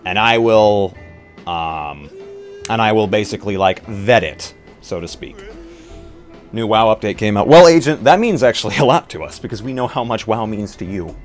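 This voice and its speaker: A man with a fairly low voice talks casually as a livestream co-host. Faint music plays behind him as he chats. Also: lively intonation, normal speed. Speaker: stream co-host.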